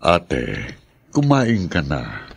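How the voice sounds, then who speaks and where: A man playing a devoted younger brother in a Filipino radio drama, earnest and gently urging. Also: rumbling low, wildly swooping pitch, extremely slow, vocal fry, slightly rough, no room sound, exaggerated voice. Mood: consoling. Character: devoted, consoling younger brother of an ailing woman.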